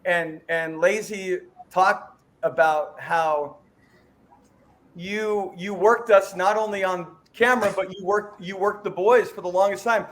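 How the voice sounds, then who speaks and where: A man's voice: sits high, fairly even intonation, unhurried, a bit bright. A middle-aged man hosting an interview podcast, chatty and rambling with a joking streak. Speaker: podcast host. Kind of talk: casual interview chat.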